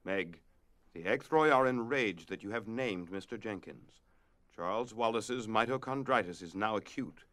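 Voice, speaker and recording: A man's voice: fairly low pitch, normal speed, a bit bright, lightly acted. A man playing a grave otherworldly guardian with a formal, authoritative manner in an audio drama. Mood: grave, urgent.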